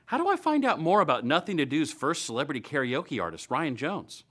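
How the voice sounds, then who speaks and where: A man's voice: on the high side, sing-song, a little fast, a bit bright. An American man in his thirties presenting a scripted show to camera with wry, playful asides. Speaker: web series host. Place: indoor studio.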